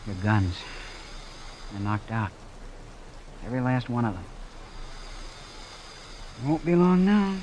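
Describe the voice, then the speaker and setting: An actor playing a young man caught in danger, in dramatic old radio style, sometimes narrating his ordeal in hushed suspense. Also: medium pitch, sing-song, slow pace, long pauses, dull audio. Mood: weary, grave. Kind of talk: 1940s radio drama acting, suspenseful first-person narration. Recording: noisy old radio broadcast.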